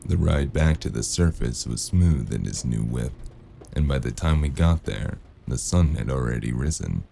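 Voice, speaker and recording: A man playing a weary, wounded noir detective in an audio drama, gruff and deadpan, with a gravelly voice and dry wit. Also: extremely low pitch, moderate pace, a little hiss or hum. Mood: brooding narration. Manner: laconic, deadpan.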